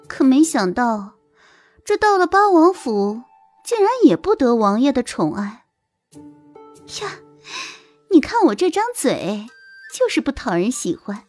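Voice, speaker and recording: A woman's voice: high-pitched, a little mellow, no echo, heavily acted. A woman playing a haughty young concubine in an audio drama, mocking with falsely sweet, spiteful politeness. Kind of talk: Chinese audio drama acting.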